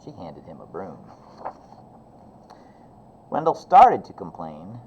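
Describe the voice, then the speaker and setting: A man with a low, soft-edged voice reads a picture book aloud as a teacher would. His delivery rises and falls a great deal. The sound is a bit dull, with faint noise behind it. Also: wildly swooping pitch, slow pace, husky. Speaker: elementary teacher reading aloud. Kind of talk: expressive children's storybook narration.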